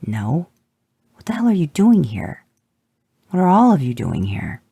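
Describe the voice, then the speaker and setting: A man's voice: fairly high pitch, huge pitch swings, a little slow, creaky, dark tone, husky, no room sound, voice pushed up, full character voice. A man reading fan fiction, voicing a startled, worried male actor who is a father. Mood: alarmed.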